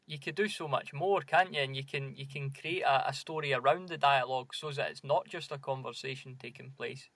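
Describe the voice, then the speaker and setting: A man of medium pitch hosts a podcast interview in a casual, conversational way. His voice sounds very dry, with little room around it. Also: fairly quick, few pauses, a bit bright, dry recording. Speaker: podcast host.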